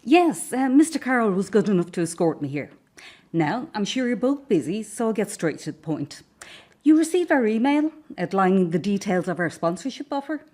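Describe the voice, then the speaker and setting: A woman playing a brisk, formal, coolly polite corporate executive in a naturalistic radio drama. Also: medium pitch, big pitch swings, mellow. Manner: formal, businesslike.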